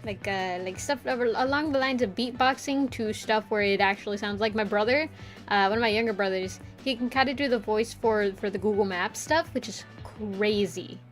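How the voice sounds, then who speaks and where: A woman's voice: medium pitch, moderate pace, faint music behind. A young woman chatting casually to her stream while drawing, rambling with lots of filler words and frequent giggles. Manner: giggly, playful voice play.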